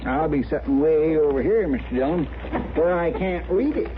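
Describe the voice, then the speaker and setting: Guy acting whiny grumbling cowboy deputy, high voice, country american accent, old thin-sounding radio western. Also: close to an everyday voice. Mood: sulky grumbling. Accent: rural American. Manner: grumbling, folksy, drawn-out protests. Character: folksy, grumbling frontier deputy, easily wounded. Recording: noisy, narrow-band vintage radio recording.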